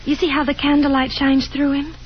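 An actress playing a painfully shy, gentle young woman who speaks hesitantly in a 1950s radio drama. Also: sits high, little pitch movement, lightly acted. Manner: hesitant, halting, self-effacing. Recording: dull 1950s radio broadcast, music behind.

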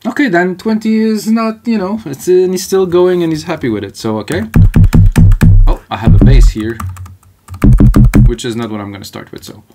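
A man's voice: high voice, moderate pace, long pauses. A man in his thirties with a French Canadian accent, French his first language, talking loosely through a music production session in his home studio, thinking aloud as he goes. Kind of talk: casual studio walkthrough. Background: electronic music playing.

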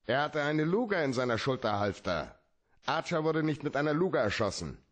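An actor playing a hard-boiled, cynical private detective in a German radio play, terse and dryly probing. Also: male voice, fairly high pitch, very animated, fairly quick. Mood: probing, sardonic.

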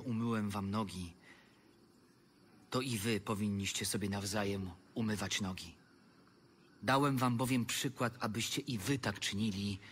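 An actor playing Jesus as a calm, grave teacher in a dramatized audio Bible, measured and solemn. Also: male voice, on the low side, a little hiss or hum. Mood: emphatic. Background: music.

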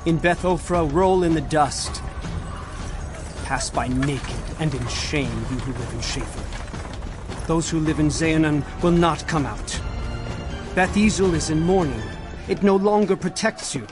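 Impassioned high-voiced prophet of doom acted by a man. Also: unhurried, lightly acted. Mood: mournful. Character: impassioned male prophet, solemnly warning of doom. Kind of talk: dramatized Bible reading. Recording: music under the voice.

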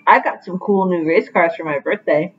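A woman's voice: fairly low pitch, normal speed, close to an everyday voice. Woman doing little boy cat pupil from a picture book, dull webcam audio. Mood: hopeful. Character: young male cat pupil, struggling with math.

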